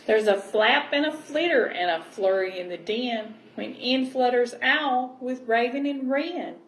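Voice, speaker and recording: A woman reading a children's picture book aloud and pausing to explain with tag questions. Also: a little high. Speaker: library storyteller. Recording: roomy echo, clear background noise.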